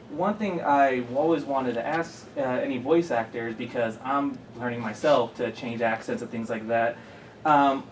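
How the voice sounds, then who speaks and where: A mid-pitched man asking eager, carefully worded questions at a press junket. He sounds set back from the mic, with a faint hiss of room noise behind him. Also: far from the mic, rough recording. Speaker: interviewer.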